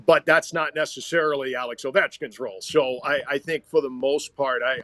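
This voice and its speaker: A man who works as a sports announcer, speaking as a guest in conversational analysis, reasoning aloud in measured, hesitant phrases. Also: mid-range voice, a bit bright, no room sound.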